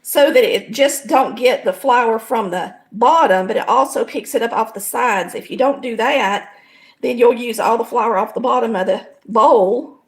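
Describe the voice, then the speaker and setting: A woman with a Southern US accent and a raspy, hoarse voice that sits a touch high. She talks folksily and explains every step as she cooks in her home kitchen, sounding middle-aged. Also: moderate pace. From American South. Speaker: home cooking show host. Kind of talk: casual cooking demonstration.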